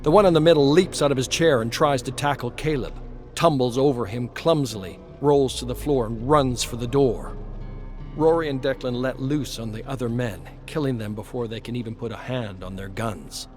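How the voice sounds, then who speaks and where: A man narrating a dramatic western story in a terse, brooding way with weighted pauses. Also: medium pitch, soft background music.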